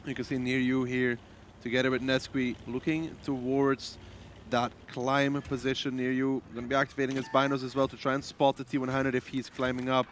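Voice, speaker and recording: A man calling a live esports match, with quick teasing banter and brisk play calls. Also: mid-range voice, flat delivery, a little hiss or hum.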